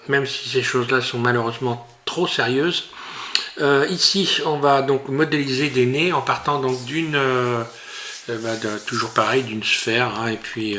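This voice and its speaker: A man teaching a software tutorial while he works on screen, talking in a hesitant, casual way full of fillers and restarts. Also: medium pitch. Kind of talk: screen-recorded tutorial narration.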